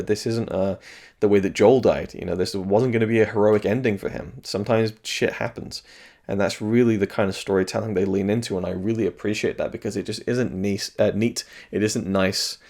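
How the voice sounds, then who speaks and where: A slightly hoarse man reviewing video games in a casual, rambling solo talk, thoughtful and full of restarts. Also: on the low side, steady tone, fairly quick, darkish tone.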